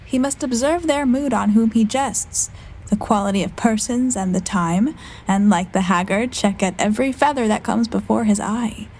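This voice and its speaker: A woman's voice: mid-range voice, normal speed, a little mellow, a little hiss or hum, lightly acted, low-quality recording. A woman playing a witty young woman disguised as a male servant in a Shakespeare audio play, speaking formal, articulate lines courteously. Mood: reflective.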